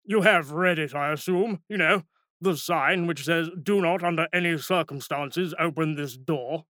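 Male reader putting on a sarcastic wizard dean: higher, bright, dry drawl. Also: a little slow, dry recording.

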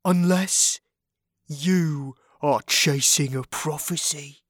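Casting: sneering male wizard adventurer, played by a woman, slow-paced, mocking, theatrical, dry close sound, fantasy audiobook. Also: fairly low pitch, animated, no room sound.

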